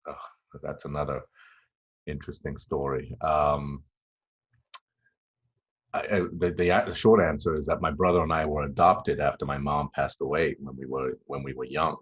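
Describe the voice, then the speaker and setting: A man in his fifties, originally from Tanzania, speaking as a podcast guest in a halting, reflective way with many fillers and restarts. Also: rumbling low, fairly even intonation, fairly bright tone, husky, dull audio. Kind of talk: casual interview chat.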